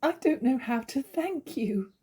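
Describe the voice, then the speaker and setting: A woman's voice: sits high, expressive, unhurried, dark tone, husky, full character voice. A woman playing a naive, emotional wife in a stage comedy, earnest and hesitant in manner. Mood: tearful gratitude. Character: naive, tearful, easily fooled jealous young wife. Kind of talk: theatrical stage comedy acting.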